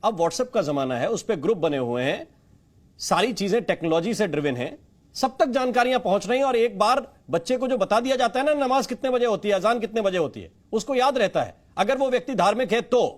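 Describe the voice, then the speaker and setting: A man's voice: high voice, lively intonation, fairly quick. A man anchoring a TV panel debate, putting pointed, insistent questions to his guests. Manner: insistent, challenging.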